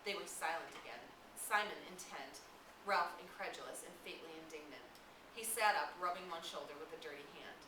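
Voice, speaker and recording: A woman's voice: low-pitched, moderate pace. A woman reading a novel aloud, sometimes stumbling and repeating words. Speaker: audiobook-style reader. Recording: roomy, distant mic, clear hiss.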